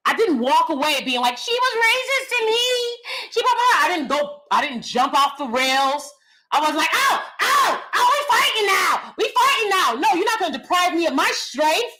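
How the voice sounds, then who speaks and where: A young adult woman hosting a casual commentary vlog, loud and blunt, cursing freely. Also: very high-pitched, big pitch swings, normal speed. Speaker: vlog host.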